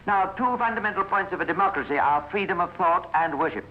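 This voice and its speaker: Formal British narrator, muffled old radio. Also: male voice, high-pitched, animated, quick pace, a little hiss or hum. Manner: formal, precise, storytelling. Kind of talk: scripted 1950s radio crime narration.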